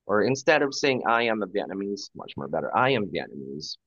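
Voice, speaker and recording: A man's voice: deep voice, sing-song, brisk, a bit bright, dry recording. A male English tutor speaks patiently over a video call, feeding his student example phrases and encouragement. The audio is dull and lo-fi, with a dry, close sound. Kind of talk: one-on-one conversation lesson.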